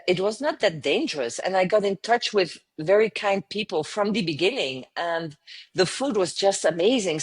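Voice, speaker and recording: A woman's voice: medium pitch, breathy edge. A woman in her fifties with a French accent, telling her story earnestly as an interview guest, with many hesitations. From south of France. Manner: frequent hesitations and restarts, earnest. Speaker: guest, travel company founder.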